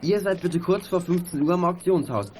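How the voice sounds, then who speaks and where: A young man acting a clever schoolboy detective in a radio play, brisk and precise in his explanations. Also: high-pitched, darkish tone, close to an everyday voice. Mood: businesslike. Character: Michael, a clever schoolboy detective, earnest and businesslike. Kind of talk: 1980s German children's radio play acting. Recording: faintly noisy 1980s audio drama tape.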